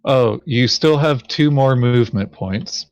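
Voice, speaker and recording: A man's voice: medium pitch, unhurried, no room sound. A man chatting casually during a board game, blunt and laid-back as a co-player.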